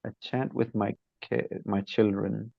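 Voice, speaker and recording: A man from Nepal chatting with his teacher on a call, hesitant, with many fillers and soft laughs. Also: on the low side, fairly even intonation, unhurried, vocal fry, dry recording, highs cut off. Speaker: student. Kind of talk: casual chat with teacher.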